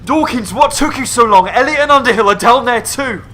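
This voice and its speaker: A man's voice: very high voice, expressive, fairly quick, pushed and bright, full character voice. A man acting an anxious, cautious workman in an audio drama, urgent and pleading. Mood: panicked. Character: anxious, cautious male mill worker pleading for patience.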